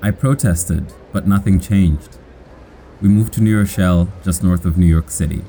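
The low, soft-edged voice of an American-accented man you would hear reading a personal story on a learning podcast, measured and plainly worded. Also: slight background noise. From Kenya. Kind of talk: scripted personal narration in short simple sentences.

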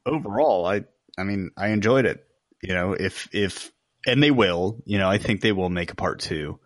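A man's voice: sits low, moderate pace, dry recording, studio quality. A man co-hosting a podcast, giving a casual film review in blunt, colloquial words. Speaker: podcast co-host.

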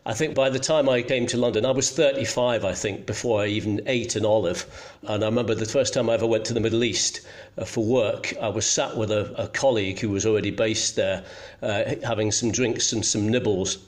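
A man's voice: lowish voice, fairly even intonation, a little fast. A middle-aged man from Scotland, telling anecdotes conversationally as a guest, in a self-deprecating way. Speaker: journalist guest.

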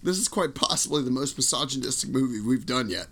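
A man's voice: mid-range voice, expressive, fast talker, a bit bright. A man co-hosting a podcast, trading wry, sarcastic jokes in casual chat. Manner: wry, joking, sarcastic asides. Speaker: podcast co-host.

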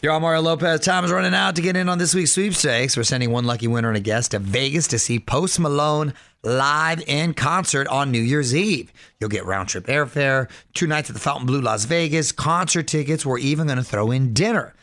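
A man's voice: on the high side, fairly bright tone. A man hosting a radio show, chatting casually with a guest and reading entertainment news in an upbeat way. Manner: upbeat, chatty, quick asides.

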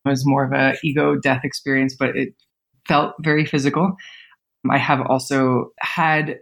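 A woman's voice: very deep voice, little pitch movement, moderate pace, gravelly. A woman in her thirties, a podcast guest telling personal stories casually, with frequent fillers and laughter. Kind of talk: casual storytelling interview.

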